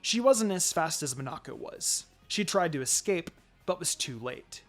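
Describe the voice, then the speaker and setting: High-pitched man narrating a dramatic story. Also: lively intonation, normal speed, soft background music. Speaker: fan fiction narrator.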